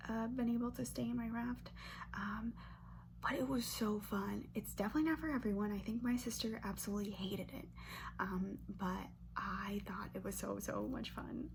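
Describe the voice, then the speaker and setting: A woman in her twenties from Brazil, whispering an ASMR question-and-answer chat close to the mic and softly repeating words over and over as triggers. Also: medium pitch, vocal fry. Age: 20s. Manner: chatty, filler-heavy, with light laughs. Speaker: ASMR creator. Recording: noisy hum under voice.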